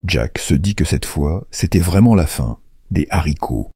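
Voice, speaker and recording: Deep-voiced man narrating a fairy tale. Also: expressive, unhurried, warm tone, slightly rough, no echo, clean studio sound. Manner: theatrical, playful storyteller. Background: music under the voice.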